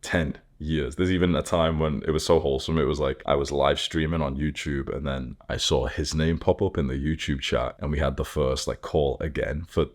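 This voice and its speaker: A man in his twenties with a deliberately deepened, just-woken voice, telling personal stories casually and informally, as a YouTuber does. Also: fairly even intonation. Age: 20s. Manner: informal, sweary, heavy use of "like". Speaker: YouTuber host. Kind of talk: casual personal storytelling to camera.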